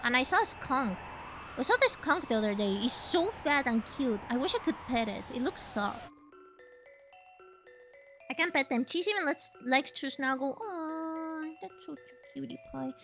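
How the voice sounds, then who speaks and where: A woman from Chile chatting casually and excitedly while streaming a game, with the odd swear. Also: high-pitched, normal speed, soft background music. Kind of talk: casual gaming livestream chat.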